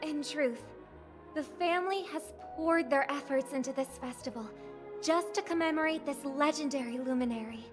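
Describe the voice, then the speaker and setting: An actress playing a poised young singer idol who gives a measured, formal, gracious ceremonial speech in a game. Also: female voice, sits high, music in the background, lightly acted.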